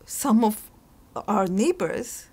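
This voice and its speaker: A middle-aged woman historian from Turkey, speaking thoughtfully in an interview and often pausing to find her words. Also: mid-range voice, sing-song, a little mellow, slightly rough. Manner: thoughtful, searching for words, frequent restarts. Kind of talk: reflective interview answers.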